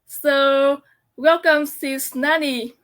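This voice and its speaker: Woman from Vietnam hosting a live video call, very high voice, slow hesitant delivery. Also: fairly even intonation, fairly bright tone, a little hiss or hum. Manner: hesitant, many fillers. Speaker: host. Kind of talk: live online interview.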